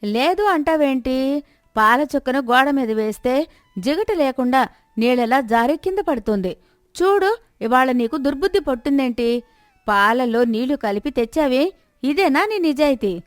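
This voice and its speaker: A woman voicing an angry village customer who scolds and accuses with sharp questions in a moral story. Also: high voice, lively intonation, moderate pace, no echo, pitched above the performer's own voice. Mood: suspicious, scolding.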